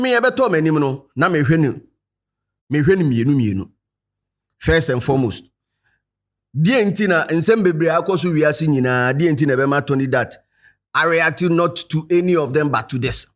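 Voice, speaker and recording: A man with a Ghanaian accent hosting a commentary show, animated and rhetorical, speaking straight to his viewers. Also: on the high side, measured pace, long pauses. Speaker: show host. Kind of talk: commentary to camera, mixing Twi and English.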